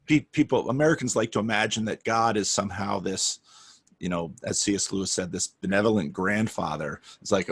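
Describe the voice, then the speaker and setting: A man's voice: lowish voice, a bit bright, slightly rough. A man, a pastor, talking in a casual conversation in an earnest, musing way, often repeating words.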